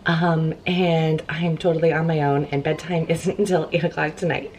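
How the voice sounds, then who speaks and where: Hoarse young mom vlogging at home. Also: female voice, sits low, flat delivery, moderate pace, darkish tone, a little hiss or hum. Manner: chatty, upbeat, laughs between phrases. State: hoarse from laryngitis. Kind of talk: casual day-in-the-life vlog talk. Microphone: handheld camera.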